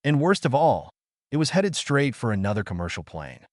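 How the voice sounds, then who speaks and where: A man's voice: mid-range voice, big pitch swings, moderate pace, no room sound, clean studio sound. A man reading a scripted story in a dramatic, suspenseful narrator's style.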